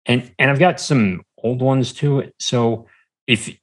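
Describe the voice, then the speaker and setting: A man chatting casually as an interview guest, halting and rambling, often repeating words as he goes. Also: mid-range voice. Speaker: podcast guest, small-business entrepreneur.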